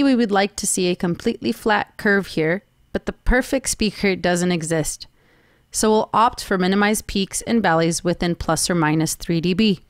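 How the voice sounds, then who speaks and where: Woman doing a scripted tutorial voiceover, formal and measured, mid pitch, really dry sound. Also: no room sound. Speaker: presenter of a technical training seminar. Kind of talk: scripted instructional narration.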